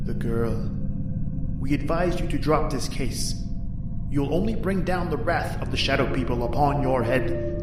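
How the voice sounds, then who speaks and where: Echoing, menacing shadow spirit. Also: male voice, medium pitch. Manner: slow, commanding, ominous. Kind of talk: old-time radio horror acting. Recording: echoey, distant old radio recording under music.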